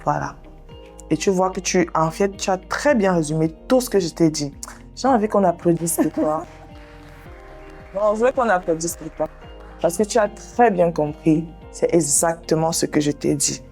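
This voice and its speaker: A woman host and therapist with a notably low voice talks a guest through a guided exercise. She speaks slowly and soothingly, giving gentle directions. Soft music plays faintly behind her. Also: expressive. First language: English. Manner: slow, soothing, directive guidance. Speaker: sex therapist and show host. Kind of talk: guided visualization and counseling talk.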